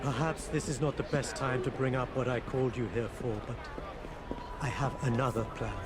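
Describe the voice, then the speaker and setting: A man's voice: mid-range voice, fairly even intonation, normal speed, natural voice. A man playing an exiled prince in a video game, with a formal, courteous, earnest way of speaking. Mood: earnest, scheming. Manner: formal, courteous, well-spoken. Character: exiled Indian prince, polite, refined, earnest, idealistic. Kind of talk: video game cutscene acting. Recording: loud outdoor city and vehicle noise behind.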